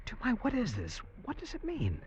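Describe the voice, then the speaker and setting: An actor playing a bewildered, frightened convict in old radio drama, speaking with hushed, urgent pleading. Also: male voice, extremely high pitch, huge pitch swings, moderate pace, heavily acted. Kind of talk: 1940s-50s radio acting. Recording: muffled, faintly noisy old radio broadcast.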